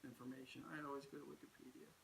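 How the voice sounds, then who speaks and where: A man's voice: medium pitch, flat delivery, rapid-fire. A man co-hosting a casual movie review chat, talking in a rambling and teasing way. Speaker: co-host. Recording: echoey room with faint hiss.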